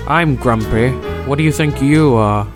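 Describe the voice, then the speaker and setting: A man's voice: mid-range voice, a little slow, close to an everyday voice. Man acting a grumpy, stern wizard over music. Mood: irritated, stern. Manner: terse, stern. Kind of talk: amateur fantasy audio drama acting. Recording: music bed under voice.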